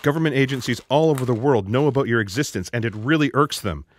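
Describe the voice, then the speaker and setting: A man's voice: medium pitch, fast talker, slight background noise, natural voice. A man playing an earnest, matter-of-fact would-be rescuer in a sci-fi audio drama.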